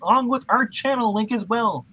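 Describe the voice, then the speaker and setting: A man's voice: very high-pitched, moderate pace, pushed and bright, slight background noise. A man co-hosting a livestream, chatting casually as he signs off. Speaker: co-host.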